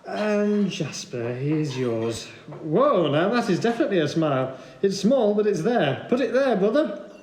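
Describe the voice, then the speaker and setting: A woman's voice: medium pitch, very animated, measured pace, darkish tone. A woman playing a playful, brash bakery regular with a bantering, exclamatory manner in an audio drama. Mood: teasing. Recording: echoey room, faint noise.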